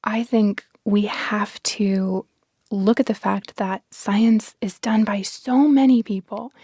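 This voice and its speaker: A woman's voice: mid-range voice, fairly even intonation, slow pace, dark tone, slightly rough, no room sound, studio quality. A woman speaking as a guest in a conversational interview, explaining her ideas in a reflective, emphatic way. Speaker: interview guest, science storytelling advocate.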